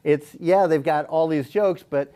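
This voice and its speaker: Guy hosting a casual chat, soft dark tone, kinda stumbles and repeats his words. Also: on the high side. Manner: halting, repeats words as he talks. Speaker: talk show host.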